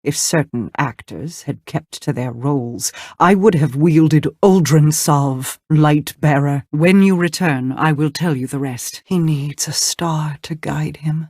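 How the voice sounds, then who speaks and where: A woman playing a regal, cryptic queen in a video game, speaking in a stately, deliberate, formal way. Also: deep voice, a little mellow, dry recording, clean studio sound. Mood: storytelling. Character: regal, cryptic queen telling an old tale.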